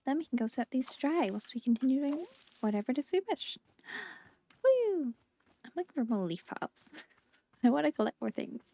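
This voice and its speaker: A woman giving casual, playful gameplay commentary as a video host, chatty and quick to exclaim. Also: high-pitched, very animated, vocal fry, dark tone.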